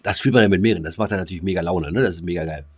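A man's voice: sits low, very fast, breathy edge, no room sound. A man chatting casually as a podcast co-host in a laid-back, slangy manner. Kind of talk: casual chat.

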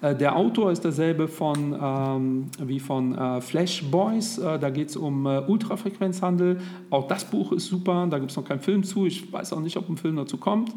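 Chatty man with a higher voice. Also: normal speed, warm tone. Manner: chatty, with many hesitations. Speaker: podcast co-host. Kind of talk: casual podcast chat.

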